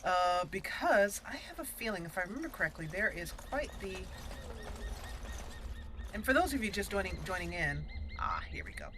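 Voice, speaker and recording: A woman hosting a let's play, chatting casually about her gameplay and reacting excitedly to the action. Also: mid-range voice, moderate pace, background noise. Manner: chatty, excitable reactions, talks to party members.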